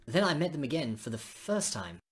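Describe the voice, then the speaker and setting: A woman streamer with a remarkably low voice reads visual novel dialogue aloud. Her tone is husky and unclean around the edges, and she slips between the script and casual commentary. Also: very animated, brisk. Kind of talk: reading game dialogue aloud with casual asides.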